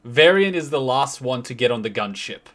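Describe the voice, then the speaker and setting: A man's voice: medium pitch, expressive, moderate pace, fairly bright tone. A man chatting casually as a reaction host, emphatically explaining and rephrasing his points. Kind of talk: casual commentary and chat.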